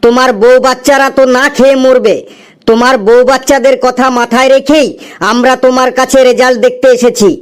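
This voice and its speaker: Casting: mouthy young cartoon student as acted out, high smooth voice, impatient and argumentative. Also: level tone, a little fast, natural voice. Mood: cheeky. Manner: argumentative, back-talking. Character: cheeky, impatient young students waiting for exam results. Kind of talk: comedy cartoon dialogue.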